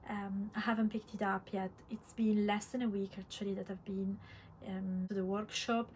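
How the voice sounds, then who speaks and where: Female vlog host, Italian accent, average pitch, reflective and conversational with repeated words; some background noise. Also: level tone, normal speed, darkish tone. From Italy. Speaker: podcast host, crafter. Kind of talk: casual vlog chat. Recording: clear background room noise.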